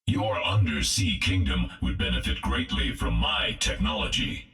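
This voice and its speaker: An imperious armored supervillain for a video game. His extremely deep, gravelly voice is cold and forceful, ringing with echo as if from a distance. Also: lively intonation, normal speed, exaggerated voice. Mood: cold, boastful. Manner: formal, imperious. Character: cold, arrogant metal-bodied supervillain.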